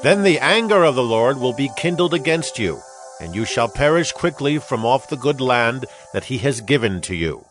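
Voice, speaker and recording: A man's voice: mid-range voice, animated, normal speed, distant mic, lightly acted. Solemn aged leader played by a man, music behind. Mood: grave warning. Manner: solemn, declamatory, emphatic. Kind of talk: dramatized scripture oratory.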